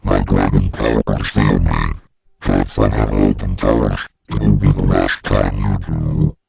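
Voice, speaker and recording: A man's voice: extremely low pitch, unhurried, gravelly, voice pushed down, full character voice. A teenage boy voicing a furious dragon-woman teacher who snarls insults at her students. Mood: snarling.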